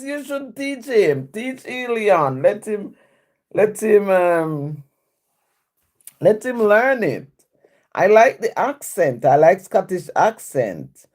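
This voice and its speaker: Woman streamer, chatty, answers comments, unhurried delivery with lots of swing in pitch. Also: darkish tone. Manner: chatty, answers comments aloud, laughs often. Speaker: livestream host reading viewer comments. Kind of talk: casual live-stream chat.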